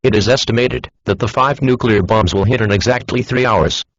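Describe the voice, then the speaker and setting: A man's voice: mid-range voice, moderate pace, fairly bright tone, no room sound. A man's synthetic text-to-speech voice reading a scripted emergency broadcast announcement in a formal, instructional manner. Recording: dull lo-fi emergency broadcast audio.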